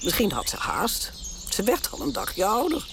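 A woman's voice: mid-range voice, huge pitch swings, moderate pace, background noise. An actress playing an elderly, stubborn queen speaking to her granddaughter, dry and imperious. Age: older.

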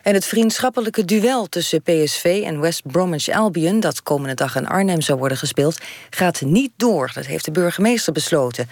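A woman newsreader with a Netherlands Dutch accent delivers a scripted bulletin. Her voice is on the low side for a woman, formal and factual, in a very dry studio sound. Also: a little mellow, no echo. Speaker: radio newsreader.

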